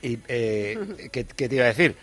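A man hosting a live radio show, teasing and joking as he asks quick questions. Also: medium pitch, a bit bright, no room sound. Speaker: radio host.

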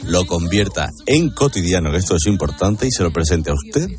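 Low-voiced male radio host. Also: fairly quick, soft background music. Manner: lively, joking. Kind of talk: casual radio chat.

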